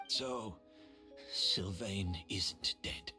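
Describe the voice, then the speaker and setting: An actress playing a bitter, calculating older female perfumer in a video game, speaking with deliberate, theatrical, sardonic elegance. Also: a bass voice, sharp tone, heavily acted. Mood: resigned, sardonic. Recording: game audio under background music. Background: music.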